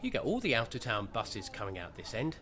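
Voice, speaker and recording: A man's voice: on the low side, huge pitch swings, fairly quick, fairly bright tone. A man acting in a radio play as an earnest, naive bus-spotter who is awkward and pedantic about trivia. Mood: earnest, enthusiastic.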